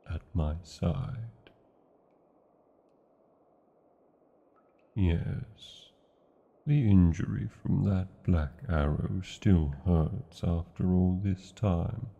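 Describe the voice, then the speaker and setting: A British man playing a tender, brooding dragon lover in a romantic fantasy ASMR roleplay, speaking formally with old-fashioned endearments in a gravelly, husky voice. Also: sits low, full character voice. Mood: worried, confiding. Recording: muffled, narrow phone-like sound.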